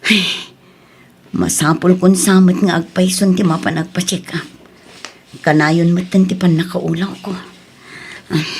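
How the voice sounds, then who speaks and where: An actress with an Ilocano accent playing a tired, hardworking mother and wife in an audio drama, with a husky voice. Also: on the low side, darkish tone, slight background noise. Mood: weary, worried. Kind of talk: Filipino audio drama acting.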